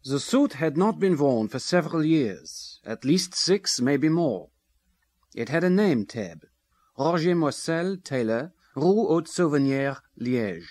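An actor in a radio drama playing a precise forensic expert, reporting in a formal, methodical way. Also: male voice, a little high.

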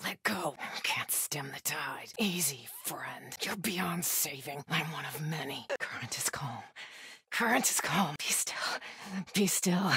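A woman playing a devout, grimly calm cultist warrior in a video game, with slow, solemn, hushed and breathy delivery. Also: sits low, no echo, studio quality, heavily acted. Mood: faint, resolute. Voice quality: breathy, husky. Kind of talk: video game voice lines, solemn prayer-like murmurs.

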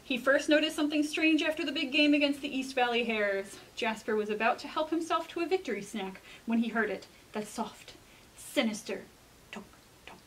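Brief: American woman, children's librarian type, highish voice, expressive picture-book delivery, some room noise behind. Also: poor audio. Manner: expressive, enunciated storytelling with dramatic pauses. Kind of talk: presenting and dramatic picture-book reading.

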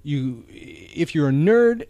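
A male podcast host talking casually and earnestly, with a lively, swinging pitch. He halts and repeats words as he works through his thoughts. The recording is close and dry. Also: takes it slowly, no room sound, dull audio. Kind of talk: casual talk show monologue.

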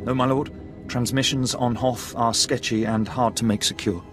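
A man acting a junior Imperial ensign from a video game. He reports crisply and deferentially in a medium-pitched, worried voice, with soft music behind. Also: fairly even intonation, normal speed, lightly acted. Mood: formal, worried. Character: formal, anxious male junior Imperial ensign.

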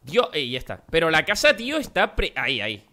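Fast, bright-voiced Spanish man, nasal. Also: a little high. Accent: Spanish from Spain. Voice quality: nasal, worn-out voice. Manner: chatty, energetic, talks straight to viewers. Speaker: gaming youtuber. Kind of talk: casual gameplay commentary.

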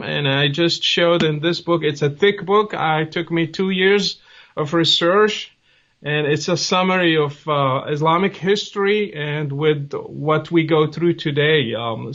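A man's voice: on the high side, fairly bright tone. A man in his forties from Morocco, answering interview questions over a video call from a home office, friendly and hesitant with many fillers. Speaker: guest. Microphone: webcam video call. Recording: dull lo-fi video call audio.

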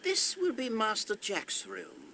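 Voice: mid-range voice, very animated, measured pace, vocal fry, sharp tone, a little hiss or hum, heavily acted. An actor playing a formal, precise and courteous castle steward in a video game. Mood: prim, courteous. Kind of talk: scripted video game acting.

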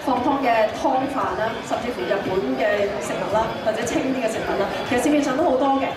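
A young woman with a Hong Kong Cantonese accent gives a playful diet talk into a handheld mic, talking fast in a slightly high voice. She jokes and throws questions at the audience. The sound is far off in a very echoey expo hall, with a loud crowd behind. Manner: chatty, jokey, mixes English words, quizzes the audience. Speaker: dietitian giving a public talk. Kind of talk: lively public health talk. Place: large indoor expo stage. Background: crowd of listeners.

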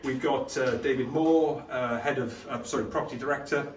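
A middle-aged man giving halting, matter-of-fact interview answers. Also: medium pitch, fairly even intonation. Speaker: airport manager. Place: office building hallway. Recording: echoey room, slightly distant, faint noise.

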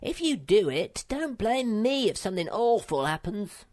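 A woman voicing a small, timid and bossy male creature in a storybook reading, squeaky and fretful. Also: sing-song, a little slow, a little mellow.

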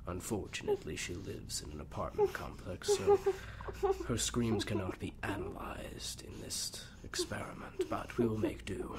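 A man playing a sadistic, clinical mad scientist villain who savors fear, recording a halting audio-drama log. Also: lowish voice, huge pitch swings, whispered. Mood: calmly clinical. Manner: slow, halting, savoring, hushed at times. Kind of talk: acted audio log monologue.

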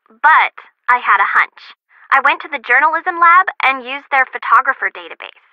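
Snarky young female student, game dialogue. Very animated, grudging and dramatic, slightly dull phone-filtered sound. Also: sits high, no echo. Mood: eager, self-satisfied.

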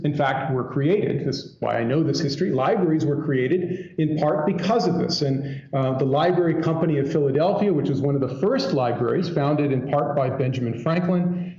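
Male keynote speaker, mellow voice, conversational and digressive, recorded in an echoey hall. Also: medium pitch. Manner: conversational, digressive, wry asides. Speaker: keynote speaker, academic lecturer. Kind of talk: conference lecture to an audience. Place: indoor lecture hall. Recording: echoey room, dull muffled sound.

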